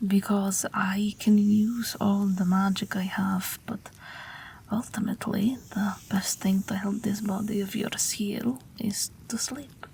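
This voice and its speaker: A woman playing a gentle witch aviator in an audio roleplay, speaking in a soothing, breathy whisper as if at a bedside. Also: medium pitch, flat delivery, takes it slowly, a little hiss or hum. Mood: tender, soothing. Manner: soft, soothing, hesitant, with gentle amusement. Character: gentle night witch aviator, old but youthful, soothing a patient. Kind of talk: whispered audio roleplay, intimate bedtime monologue.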